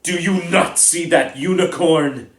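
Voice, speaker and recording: A man's voice: low-pitched, expressive, a little slow, a bit bright, recorded from a distance. Man doing Firenze, a grave noble centaur. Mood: bellowing. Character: noble calm male centaur, protective. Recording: echoey room.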